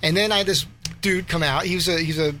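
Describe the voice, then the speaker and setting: A male guest tells a long story in a soft, breathy voice, restarting often with "so, so". His tone is bright and fairly high, and a faint room hum sits behind him. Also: fairly even intonation, fast talker. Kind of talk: casual storytelling interview.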